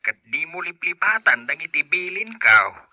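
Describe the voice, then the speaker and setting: Actor doing caring older filipino dad, radio drama, very sharp bright voice, lots of up and down. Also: male voice, on the high side, very animated, normal speed, no echo, exaggerated voice. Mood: concerned. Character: caring, self-denying older father.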